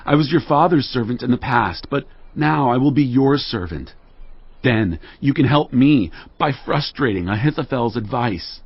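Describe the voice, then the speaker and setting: A man's voice: medium pitch, animated, moderate pace, breathy edge, dry recording. An actor playing a weary, humble but commanding exiled king in a dramatized audio Bible. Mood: commanding, planning. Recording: dull audio over music bed.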